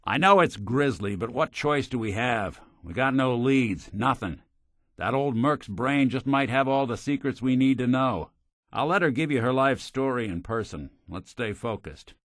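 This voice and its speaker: An actor playing a weary, wry but kind synth private detective in a video game, with an American accent and a folksy old-time gumshoe delivery. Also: male voice, medium pitch, animated, normal speed, a bit bright, no room sound, studio quality, lightly acted. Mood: persuasive, then dry, measured. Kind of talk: noir detective game dialogue.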